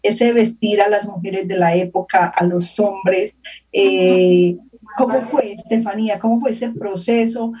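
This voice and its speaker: A woman co-hosting an interview, speaking conversationally in halting, thoughtful phrases. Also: mid-range voice, steady tone, mellow, husky. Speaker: co-host and professor. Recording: muffled, distant from the mic.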